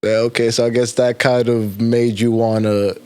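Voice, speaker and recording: A man's voice: mid-range voice, little pitch movement, moderate pace, darkish tone, smooth. A man hosting a casual interview, asking laid-back questions with many fillers. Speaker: podcast host.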